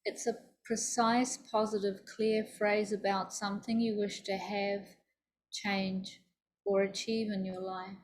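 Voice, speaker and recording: Slow, even-toned woman guiding meditation. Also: mid-range voice. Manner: soothing, deliberate, instructive. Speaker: yoga nidra teacher. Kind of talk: guided meditation.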